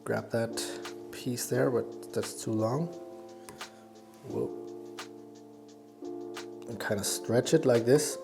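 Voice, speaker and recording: Danish guy doing a tutorial, kinda halting n thinking out loud, slow gravelly voice, music playing behind him. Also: medium pitch. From Denmark. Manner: halting, thinking aloud while working. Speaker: fly tying instructor and YouTuber. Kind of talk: casual hands-on tutorial narration.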